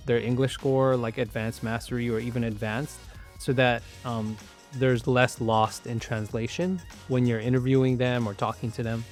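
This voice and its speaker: A man's voice: mid-range voice, darkish tone. American man, conversational, with music behind. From San Francisco Bay Area, US. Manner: conversational, explanatory, addresses viewer directly. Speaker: content creator, video host. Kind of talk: casual instructional talk to camera.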